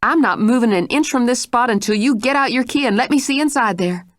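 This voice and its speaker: A woman's voice: medium pitch, sing-song, normal speed, dry recording, studio quality, pitched above the performer's own voice, close to an everyday voice. Woman doing a stern scolding housekeeper. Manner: scolding, forceful.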